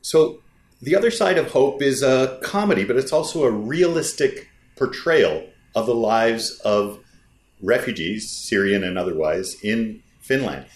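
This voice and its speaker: A man's voice: mid-range voice, animated, measured pace. Roomy-sounding male film interviewer. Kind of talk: scripted intro then interview. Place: festival interview room. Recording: roomy echo with faint music.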